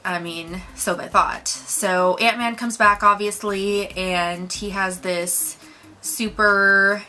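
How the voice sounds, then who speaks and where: A woman's voice: mid-range voice, fairly even intonation, slow pace, pushed and bright. A woman co-hosting a movie review, talking in a casual, chatty way.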